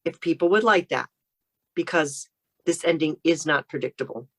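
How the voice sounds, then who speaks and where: A woman author speaks as a podcast guest, her voice sitting very low for a woman. She explains her work in a steady, orderly way. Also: normal speed, slightly rough. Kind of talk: casual interview chat.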